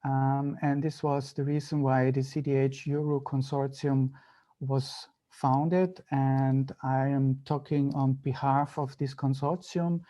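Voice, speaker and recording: A man with an Austrian accent, a native German speaker, giving an online medical lecture in halting, deliberate phrases. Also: medium pitch, flat delivery, dark tone. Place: video call.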